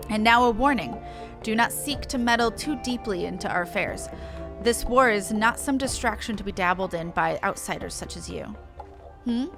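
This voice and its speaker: A woman's voice: a little high, close to an everyday voice. A woman voicing a haughty, prickly female beastman warrior in a game, with sneering, formal speech. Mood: offended. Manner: indignant, sneering, formal phrasing. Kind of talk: reading game dialogue in character.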